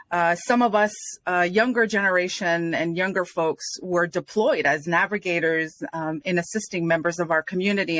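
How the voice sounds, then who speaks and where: A woman's voice: on the low side, a bit bright, no room sound. Enthusiastic woman in her forties, dry. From Rhode Island, United States. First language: Spanish. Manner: earnest, enthusiastic storytelling. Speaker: guest. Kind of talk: podcast interview.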